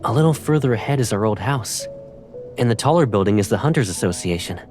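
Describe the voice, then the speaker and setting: A man playing a confident, tender young pilot in a romantic game drama, speaking softly and intimately. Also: lowish voice, expressive, fast talker, faint music behind, close to an everyday voice. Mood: warm, assured.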